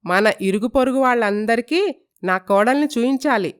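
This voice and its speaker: A woman voicing an excited, doting middle-aged mother-in-law in a cartoon story, gushing and fussy. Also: fairly high pitch, normal speed, clean tone, close to an everyday voice. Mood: defensive.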